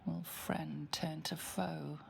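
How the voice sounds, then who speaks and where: An actress playing a grave, ominous storyteller, narrating a dark fantasy game in a measured, hushed, portentous way. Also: female voice, low voice, little pitch movement, gravelly, slight background noise, heavily acted. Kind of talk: dramatic scripted game narration. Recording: music under the narration.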